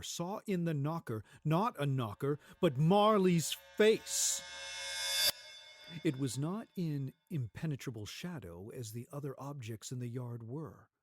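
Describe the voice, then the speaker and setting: Guy reading a story like a radio play, slow and dramatic, lots of emphasis, dry studio sound. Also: medium pitch, no room sound. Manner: theatrical, emphatic, deliberate phrasing. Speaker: narrator. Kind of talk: dramatic storytelling, scripted narration.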